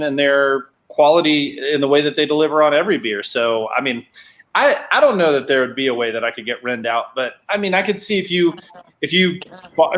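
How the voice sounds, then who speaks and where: A man's voice: mid-range voice, fairly bright tone. A man co-hosting a casual online drinks show, teasing and explaining at length. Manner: wry, teasing, then long explanations. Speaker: co-host.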